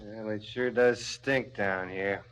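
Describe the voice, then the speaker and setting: An actor playing a greedy, domineering crime boss in a 1970s radio drama, blunt and insinuating. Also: male voice, lowish voice, steady tone, takes it slowly, fairly bright tone. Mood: curt, commanding. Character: bullying, greedy, corrupt male crime boss.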